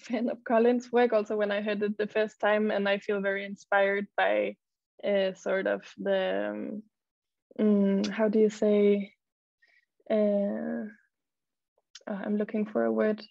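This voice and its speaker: A young Danish-native woman speaks in a flat, gravelly voice, pausing often with fillers as she talks and reads her poems. The sound is dry and a little dull, like a laptop mic on a video call. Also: mid-range voice, no echo. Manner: hesitant, many fillers, reads poems slowly. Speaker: poet and model, panelist. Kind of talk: panel talk and poetry reading. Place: home room, video call.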